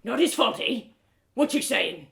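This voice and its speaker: A woman's voice: a little high, animated, a little slow, vocal fry. A woman voicing an angry, bitter old male blacksmith with a menacing, threatening manner and rustic speech, as part of a storybook reading. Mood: suspicious, menacing. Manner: bellowing, threatening, rustic dialect. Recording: roomy echoing sound.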